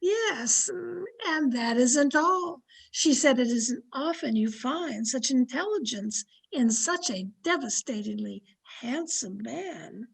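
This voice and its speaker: A woman playing a sly, flattering old aunt in an old-time radio comedy, coy and sweetly confiding. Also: high voice, slow pace, vocal fry, few pauses, husky, full character voice. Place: home, online video-call production.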